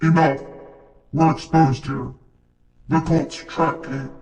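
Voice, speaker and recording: A man playing a grim, menacing cyborg in game-style dramatic lines, with pitch-shifted robotic processing. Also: fairly even intonation, slow pace, darkish tone, no echo, rough recording. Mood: grim warning.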